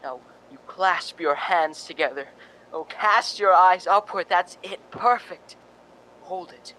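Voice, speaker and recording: A man's voice: high voice, slow pace, bright, forceful tone, exaggerated voice, low-quality recording. An actor playing a fussy film director in a radio drama, coaxing his leading lady with theatrical short commands. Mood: commanding, coaxing.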